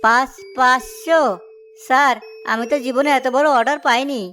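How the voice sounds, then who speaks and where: A woman's voice: high-pitched, steady tone, moderate pace, sharp tone, soft background music. A woman voicing a village samosa seller in a cartoon story. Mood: humble, grateful. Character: village samosa sellers, one humble, one jealous.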